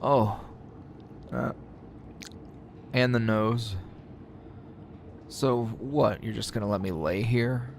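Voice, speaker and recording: A man's voice: medium pitch, takes it slowly, vocal fry, pauses often, a little hiss or hum. A man playing a defiant, sardonic captured hero and teacher in an ASMR roleplay, taunting his kidnapper in a drawl broken by sighs and chuckles. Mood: pained sarcasm.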